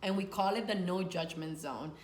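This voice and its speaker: A woman's voice: on the low side, normal speed. A Dominican woman from New York, a life coach, gives conversational, earnest advice to camera with informal phrasing and emphasis on key words. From Dominican, grew up in New York. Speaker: sex and life coach, video host. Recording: echoey room with faint noise.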